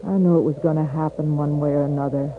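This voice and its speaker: A woman's voice: sits low, steady tone, fast, narrow, tinny audio, close to an everyday voice. A woman playing a stoic, wounded frontier woman with rustic, plain speech in a 1950s radio western. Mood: numb, resigned. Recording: thin, noisy old radio broadcast.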